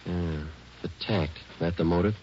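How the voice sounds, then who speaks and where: Low-voiced deadpan American police detective. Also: male voice, unhurried, a little hiss or hum. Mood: dry, casual. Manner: deadpan, matter-of-fact, terse. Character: laconic male police detective sergeant narrating his case. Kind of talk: 1940s radio police drama, clipped narration. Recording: dull old radio recording, loud music.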